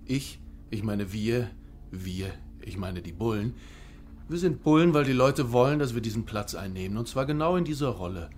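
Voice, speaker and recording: An actor playing a cynical, smug police officer in a radio play, speaking in a sardonic, deliberate, menacing way. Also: male voice, mid-range voice, animated, darkish tone, a little hiss or hum, natural voice. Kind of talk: German radio play acting, monologue.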